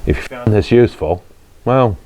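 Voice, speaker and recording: A man's voice: fairly low pitch, expressive, moderate pace, darkish tone. A man hosting a vlog, casually telling stories and explaining things in plain, colloquial speech. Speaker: host of a car channel, drag racer. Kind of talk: casual storytelling and explaining to viewers.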